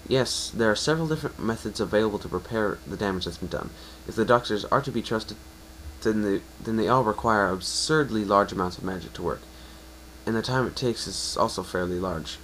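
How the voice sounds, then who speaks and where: A man voicing a guarded, wry winged pony, resigned and gently teasing, in an amateur fan fiction reading. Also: on the low side, a little fast, slight background noise, close to an everyday voice, poor audio.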